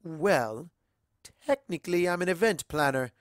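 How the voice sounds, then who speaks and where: A performer voicing an elegant, gracious unicorn mare socialite in a storybook reading, with refined, effusive, drawn-out phrasing. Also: mid-range voice, lively intonation, dry recording, clean studio sound, voice pushed up, lightly acted. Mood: chatty, poised. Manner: effusive, genteel, drawn-out words.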